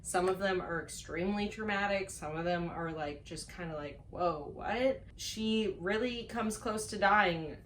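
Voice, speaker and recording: A woman's voice: mid-range voice, unhurried, hardly any pauses, a bit bright, a little hiss or hum. A woman chatting casually to camera as a book reviewer, playful and self-mocking, stretching words for dramatic emphasis. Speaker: book reviewer on a video channel.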